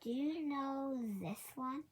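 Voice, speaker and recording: A woman voicing an excitable, joke-loving female cow in a children's storybook, in an eager, exclaiming manner. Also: high voice, slow pace, voice pushed up. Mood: delighted, eager.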